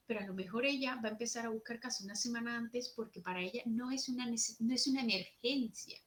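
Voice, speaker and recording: A woman's voice: a little high, fairly bright tone. A young woman with a Jerez Andalusian accent giving an earnest, explanatory conference talk at a social work seminar, partly read from notes. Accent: Andalusian Spanish, from Jerez.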